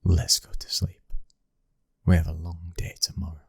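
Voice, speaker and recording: Very deep, husky British man acting as mafia heir. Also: monotone, normal speed, dry recording, full character voice. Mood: firm, serious. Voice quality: husky, breathy, often dropping toward a whisper. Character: commanding young male mafia heir, controlled and protective. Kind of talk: ASMR boyfriend roleplay, scripted acting.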